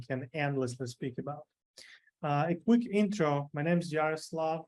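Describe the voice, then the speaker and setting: A man's voice: medium pitch, low-quality recording. A man from Ukraine giving a conference presentation, with frequent fillers and restarts. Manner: hesitant, many fillers. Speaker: conference speaker. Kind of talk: presentation talk.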